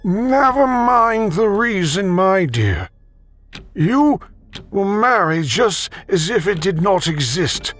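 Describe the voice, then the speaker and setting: Male narrator doing a cruel old man on his deathbed: high, thin, very slow, menacing. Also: very animated, a bit bright, soft background music, full character voice. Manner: slow, drawn-out and ominous. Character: dying old man, sinister, cruel and secretive.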